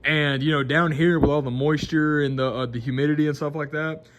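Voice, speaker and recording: Rambling young man, slightly high voice. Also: fairly even intonation, fairly quick. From Mississippi, American South. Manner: rambling, many restarts and fillers. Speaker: vlogger. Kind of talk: casual unscripted monologue.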